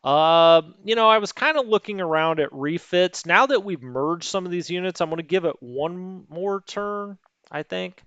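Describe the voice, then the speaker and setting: A man's voice: high-pitched, expressive, moderate pace, fairly bright tone, smooth, dry recording, highs cut off. A man hosting a strategy game let's play, thinking aloud in a rambling, hesitant way with lots of fillers and second-guessing. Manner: rambling, self-questioning, hesitant, many fillers.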